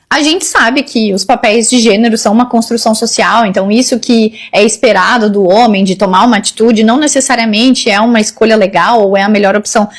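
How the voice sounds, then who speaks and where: A woman chatting to viewers about dating, exasperated, often turning to them directly. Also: fairly high pitch. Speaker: single woman giving dating views. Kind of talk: casual chat to camera.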